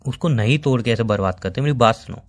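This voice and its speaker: A man's voice: mid-range voice, fast, natural voice. A young man playing an exasperated, teasing, thrifty boyfriend in an acted phone-call skit, arguing colloquially and repeating himself. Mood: coaxing. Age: young adult. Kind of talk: acted casual phone argument.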